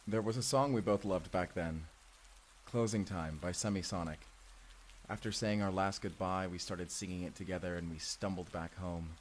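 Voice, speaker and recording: Male actor as sincere young time traveler, lowish voice, confiding and casual, sci-fi audio drama. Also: moderate pace, slight background noise, natural voice. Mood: nostalgic. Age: young adult. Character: earnest, secretive young man from the future named Benji. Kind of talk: immersive audio drama roleplay acting.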